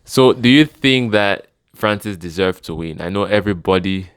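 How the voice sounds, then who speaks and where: A man's voice: lowish voice, moderate pace, clean tone, dry recording, studio quality. A man with a Cameroonian accent, hosting a casual video talk and putting questions to his guest. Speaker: host, interviewer.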